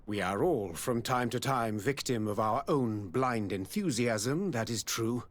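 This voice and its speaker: A man's voice: mid-range voice, expressive, a little slow, lightly acted. A man voicing a dignified middle-aged baron in a video game, formal and stately like a solemn statesman. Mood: gracious, reflective. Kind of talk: dramatic video game acting.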